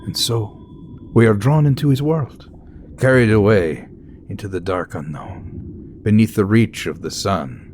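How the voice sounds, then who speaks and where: An actor playing an awed, haunted professor, narrating an audio drama in a hushed, dramatic way. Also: male voice, fairly low pitch, unhurried, slight background noise, close to an everyday voice. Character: awed, haunted male professor narrating his undersea captivity.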